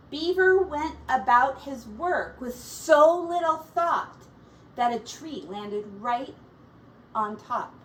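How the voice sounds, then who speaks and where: Woman, teacher reading a storybook, unhurried pace, expressive, explanatory asides, away from the mic. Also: high-pitched. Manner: pausing to explain words and comment on pictures. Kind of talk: expressive children's picture-book read-aloud. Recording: distant mic with faint background noise.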